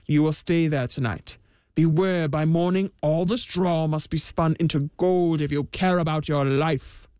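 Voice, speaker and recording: A woman performs a stern, greedy king from a storybook. He speaks with slow, imperious command and a threatening edge. The voice is dry, with no room sound. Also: deep voice, fairly even intonation, warm tone, clean tone, no room sound. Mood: menacing, commanding. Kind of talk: storybook character voice.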